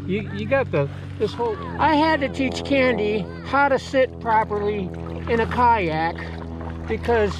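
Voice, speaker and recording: A man's voice: high voice, a little slow, music in the background, poor audio. An older man, chatting and teaching his partner to paddle while kayaking on a lake, in a joking, instructive way. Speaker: vlogger. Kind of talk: casual outdoor vlog chat. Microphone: action camera on the boat. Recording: outdoor wind and water noise.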